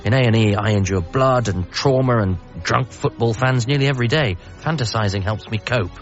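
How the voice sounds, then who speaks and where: A man's voice: medium pitch, brisk, dull audio, faint music behind, natural voice. An actor playing an anxious, self-deprecating British doctor grieving his father, narrating a radio comedy drama in a hesitant, wry way. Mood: earnest. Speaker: narrator and lead character.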